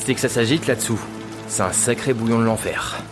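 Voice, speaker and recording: A man's voice: mid-range voice, brisk, over music, natural voice. An actor playing a familiar, teasing working-class local man in an audio drama, speaking in slang. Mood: frank, defiant. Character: chatty working-class local townsman, mocking but helpful. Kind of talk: French audio drama dialogue.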